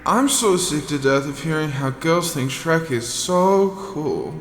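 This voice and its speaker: A man delivers a mock-serious comic monologue with slow, declamatory indignation. His fairly high voice rings with heavy reverb, and music plays behind him. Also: animated, a bit bright.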